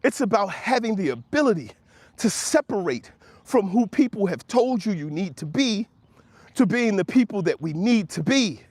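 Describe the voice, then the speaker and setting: A man's voice: sits high, big pitch swings, moderate pace, darkish tone, husky, dry recording. An American man in his fifties giving a motivational monologue, emphatic and preacherly, with repeated phrases and rhetorical questions. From Washington, D.C., United States. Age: 50s. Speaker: host of a motivational talk show.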